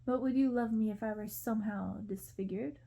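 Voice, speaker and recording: A woman's voice: mid-range voice, a little fast, creaky, warm tone. A woman voicing a needy young prince in a storybook reading. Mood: desperate.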